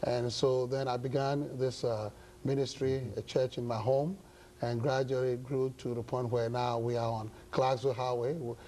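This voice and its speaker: Mellow-voiced pastor from Ghana, halting. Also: male voice, mid-range voice, level tone, a little hiss or hum, rough recording. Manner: halting, many uh fillers, measured. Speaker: pastor and guest. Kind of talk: interview talk show.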